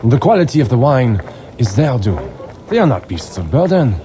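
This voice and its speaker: A man's voice: mid-range voice, very animated, normal speed, mellow, lightly acted. An actor playing a proud French nobleman with a French accent, expansive and rhetorical, in a game cutscene over outdoor ambient noise. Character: proud, visionary French nobleman and vineyard owner. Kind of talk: dramatic game cutscene acting.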